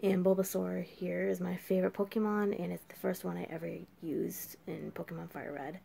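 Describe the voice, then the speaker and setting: A woman's voice: fairly low pitch, fairly even intonation, moderate pace, vocal fry, mellow. An eighteen-year-old woman with a scratchy, sore throat, chatting casually as a reaction video host from a small room late at night. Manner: puzzled, exclaiming questions. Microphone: webcam at desk.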